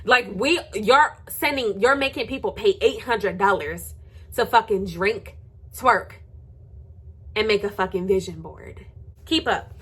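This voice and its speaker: An American woman with a husky, slightly rough voice at an average pitch. She talks straight to her viewers in a heated, tea-spilling rant. Her delivery is blunt and confrontational, full of swearing, with phrases repeated for sarcastic punch. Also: unhurried, a bit bright. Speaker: vlogger telling gossip.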